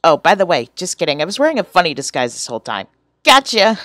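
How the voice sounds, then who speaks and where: A woman's voice: fairly low pitch, very animated, fast, a bit bright, no echo. A woman voicing a goofy, earnest teenage boy from a webcomic, playful and exclamatory, in an amateur character reading.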